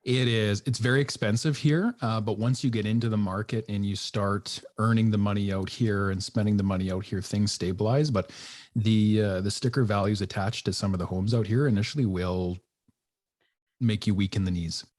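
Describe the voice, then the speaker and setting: A middle-aged man from northern Alberta, a retired police officer, talking calmly and reflectively as a podcast guest. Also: on the low side, moderate pace. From northern Alberta, Canada. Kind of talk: casual podcast chat.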